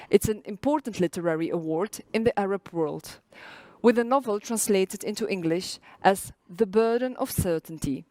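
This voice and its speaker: Woman, from Poland, festival presenter, reading a prepared intro, measured, dry close sound. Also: mid-range voice, dark tone, dry recording, poor audio.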